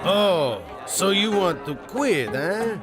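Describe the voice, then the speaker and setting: An actor playing a proud, bitter, drunken big-game hunter in a pulp radio adventure, with a theatrical, blustering manner. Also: male voice, sits high, sing-song, slow pace, over music, crowd noise, exaggerated voice. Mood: mocking.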